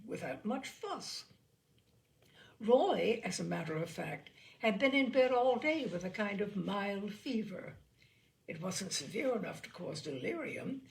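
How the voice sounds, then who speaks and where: A woman reads a literary story aloud with care. Her pitch moves widely and expressively, and a noticeable creak colors the voice. Phrases are measured and deliberately placed. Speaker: storyteller reading short stories aloud.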